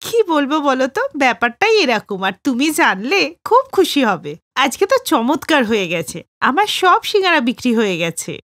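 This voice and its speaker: A woman's voice: sits high, sing-song, brisk, hardly any pauses, fairly bright tone, no room sound, studio quality. A woman voicing a poor, kind snack seller in a cartoon, earnest in tone. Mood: happy.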